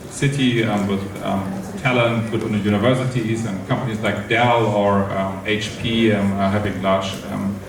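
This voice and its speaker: Man from germany, low voice, lots of ums, boomy hall, distant mic, noisy. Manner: halting, many ums and restarts. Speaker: company co-founder, panel speaker. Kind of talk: conference panel talk. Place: conference stage in a large hall. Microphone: handheld mic. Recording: echoey hall, mic heard from afar, noisy.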